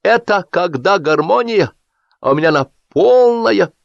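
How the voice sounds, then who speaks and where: Man doing a drunk pompous host, high and dragging, muffled dry audio. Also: animated, creaky, no echo, exaggerated voice. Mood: drunken boast. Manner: slurred, drawn-out toasting. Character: drunk older male party host, sentimental and pompous. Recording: dull dry lo-fi recording.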